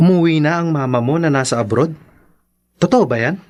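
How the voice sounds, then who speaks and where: A man's voice: on the high side, a little fast, a little mellow, no room sound, faint music behind, lightly acted. Man as an eager, cheerful young boyfriend. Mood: excited, affectionate. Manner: eager, upbeat. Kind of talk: Tagalog radio drama acting.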